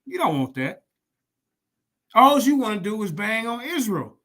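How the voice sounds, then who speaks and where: High bright-voiced guy ranting hard, cussing, calling people out. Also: sing-song, a little slow. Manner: loud, profane, confrontational. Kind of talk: heated casual chat.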